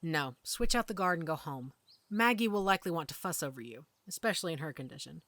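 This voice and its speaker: A woman playing a calm, protective werewolf mother who leads her pack in an audio drama, speaking with authority. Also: low voice, fast talker, dry recording. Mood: calm, commanding. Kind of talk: audio roleplay acting.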